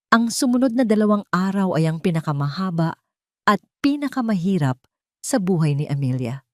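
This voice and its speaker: A woman narrator with a fairly low voice, recorded close and dry. She tells a scripted dramatic story in a measured, theatrical way. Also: dry recording.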